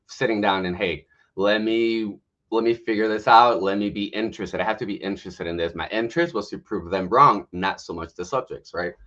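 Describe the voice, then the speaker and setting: A man in his late thirties from Mexico, telling his life story on a livestream with candid, self-mocking humor. His voice sits a little low. The stream audio is muffled and lo-fi. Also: fairly bright tone. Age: 30s. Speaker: guest health coach.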